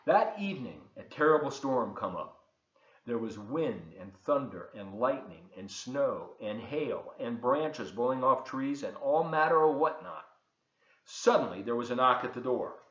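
A man in his seventies reading a children's storybook aloud in a folksy, expressive way with chatty asides to young listeners. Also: medium pitch, measured pace. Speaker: volunteer storybook reader. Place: open living room and kitchen, indoors. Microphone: webcam at desk distance. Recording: dull, roomy home webcam recording.

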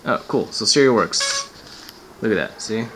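A man's voice: on the low side, expressive, a little slow, a bit bright, a little hiss or hum, low-quality recording. A man giving a casual hands-on gadget demo as a reviewer, offhand and sardonic, swearing and sounding exasperated.

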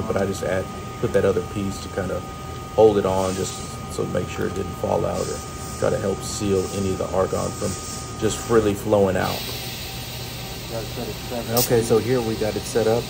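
A man's voice: fairly low pitch, creaky, poor audio. A middle-aged American man narrating a casual how-to as a welder, in a laid-back, folksy way. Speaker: welder hosting a tutorial.

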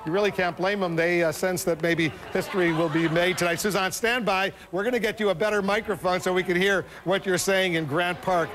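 A man's voice: high-pitched, steady tone, a little fast, few pauses, background noise. A man anchoring live election night coverage on television, speaking emphatically. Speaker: news anchor. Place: television news studio.

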